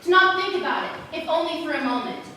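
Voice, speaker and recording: A woman's voice: extremely high pitch, lively intonation, fast, bright, forceful tone, full character voice. A young female student actor playing a curious, wry girl who comforts strangers in a forest, in a school stage play recorded from far off with echo. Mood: reflective. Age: teens or young adult. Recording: echoey stage from far off, noisy.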